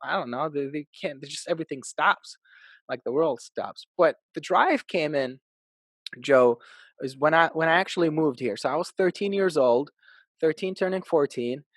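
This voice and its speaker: A man's voice: fairly high pitch, moderate pace, dry recording. Upbeat storytelling man from Iraq. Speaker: podcast guest, real estate agent. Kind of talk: casual interview storytelling.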